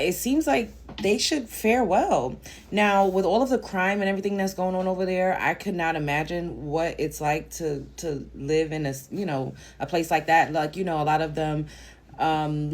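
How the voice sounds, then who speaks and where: Low-voiced blunt woman from Brooklyn. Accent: Brooklyn, New York. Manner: blunt, rambling, with fillers. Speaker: reaction video host. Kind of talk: casual commentary monologue.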